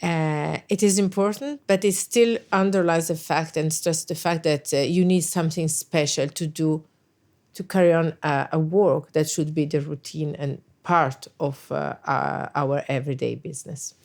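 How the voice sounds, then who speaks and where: A woman's voice: sits low, steady tone, normal speed, vocal fry, darkish tone. A middle-aged woman, an English speaker, answering interview questions thoughtfully with frequent hesitations and restarts. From Italy. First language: Italian. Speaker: guest, NATO special representative.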